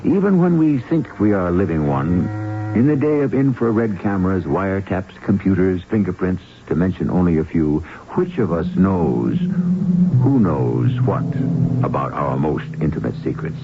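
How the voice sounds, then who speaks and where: A man with an American accent narrating a 1970s radio mystery as its ominous, theatrical host, phrasing deliberately. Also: low-pitched, big pitch swings, noisy background, full character voice. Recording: muffled phone-like old radio, music underneath. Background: music.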